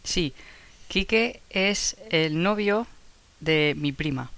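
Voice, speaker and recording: A woman's voice: sits low, expressive, very slow, fairly bright tone. A woman co-hosting a podcast who gives brief, agreeable replies in casual chat. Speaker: podcast co-host.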